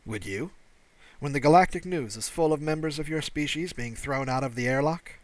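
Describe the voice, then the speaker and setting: A man's voice: fairly high pitch, normal speed, dry recording, natural voice. A man voicing a wry, kindly alien rescuer who talks in a breezy, teasing, persuasive way in an audiobook. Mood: challenging. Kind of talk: audiobook character dialogue.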